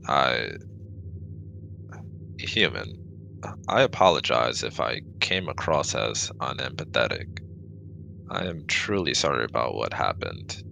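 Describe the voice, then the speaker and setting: Guy doing a super low stiff alien scientist, formal n robotic asmr roleplay, noisy background. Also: vocal fry, bright, forceful tone, heavily acted. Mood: apologetic, formal. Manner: formal, robotic, avoids contractions. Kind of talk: scripted ASMR audio roleplay.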